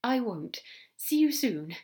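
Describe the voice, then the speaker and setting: A gentle male angel from an audiobook, acted by a woman narrator, speaks in a lifted pitch. He is prim and fussy, choosing courteous words with a slight hesitance. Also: very animated, slow, husky, full character voice. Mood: pleased. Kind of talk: audiobook character dialogue.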